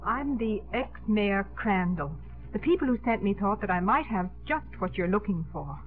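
Woman acting a small-town lady mayor, genteel, emotional, medium pitch, old radio drama, thin band-limited sound. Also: animated, normal speed, background noise, lightly acted. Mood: flustered, delighted. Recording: muffled, narrow-band 1950s radio broadcast.

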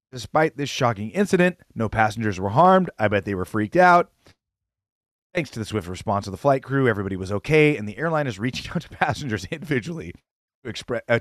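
Earnest guy reading the news then riffing, halting, lots of ums, dead dry sound. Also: mid-range voice, no echo. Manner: earnest, halting, many fillers. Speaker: correspondent. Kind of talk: casual panel chat.

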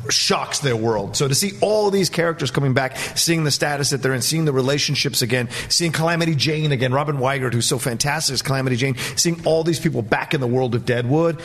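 A man talks as a panelist on a movie news show, with a medium-pitched voice. He gives his opinions with open enthusiasm and leans on his points emphatically. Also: quick pace, few pauses, fairly bright tone.

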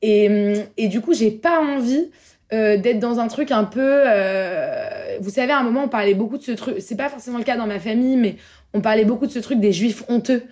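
A woman's voice: a little high, fairly quick, a little mellow. A young woman from Paris, a guest in a casual round-table chat, talking loosely with slang and many hesitations. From Paris, France. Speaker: podcast guest.

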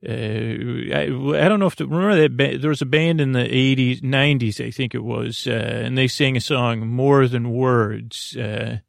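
A man with a creaky voice hosting a bedtime podcast, talking in a soothing, meandering, halting way and often breaking off mid-thought. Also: medium pitch, no echo, studio quality. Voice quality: creaky, gravelly. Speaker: podcast host. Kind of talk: rambling bedtime podcast monologue.

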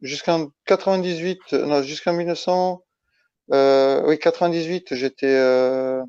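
A man from Italy speaking as a guest in a casual interview, halting and restarting his phrases as he explains. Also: on the high side, steady tone, darkish tone, clear, resonant tone. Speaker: interview guest, ultra-trail runner. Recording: dull lo-fi, roomy echo.

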